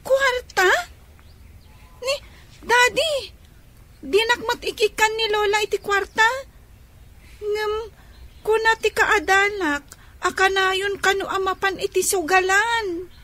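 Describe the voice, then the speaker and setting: A woman's voice: very high voice, slow pace, long pauses, pushed and bright, full character voice. A woman acting an innocent, questioning young child in a radio drama. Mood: sad, confiding.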